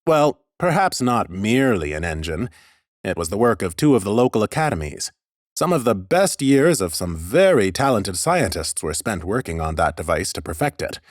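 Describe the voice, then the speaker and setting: A man voicing an ancient lich scholar in an audiobook, wry, measured and pedantic. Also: fairly low pitch, big pitch swings, no room sound, studio quality. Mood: explaining, polite. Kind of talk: character acting in an audiobook.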